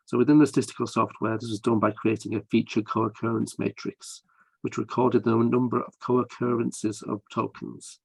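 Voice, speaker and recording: A man's voice: lowish voice, gravelly, darkish tone, no echo, rough recording. A man presenting research findings at a conference, explaining his methods step by step with frequent hesitations.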